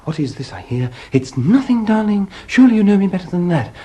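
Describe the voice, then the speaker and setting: An actor playing a flustered, cheeky husband in a British stage farce, with an English accent and a flippant, bantering manner. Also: male voice, high voice, sing-song, fairly quick, dark tone. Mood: scheming, flustered. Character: flustered, cheeky young husband wriggling out of trouble.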